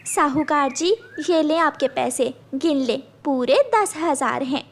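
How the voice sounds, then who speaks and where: A woman's voice: high-pitched, a little slow. A woman voicing a kind, poor female bird farmer in a cartoon, speaking earnestly and imploringly. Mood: determined.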